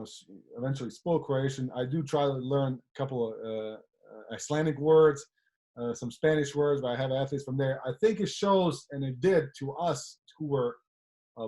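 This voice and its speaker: A man's voice: mid-range voice, darkish tone. Man hosting, often tags "right?". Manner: talks in long runs, tags lines with "right?", laughs easily. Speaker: podcast host. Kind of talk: casual interview chat.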